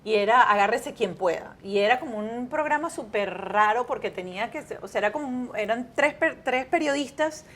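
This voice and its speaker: Lively Venezuelan woman telling stories. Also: fairly high pitch, brisk, few pauses. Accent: Venezuelan Spanish. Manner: animated storyteller, many restarts and fillers. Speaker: guest, TV presenter. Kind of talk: casual interview chat.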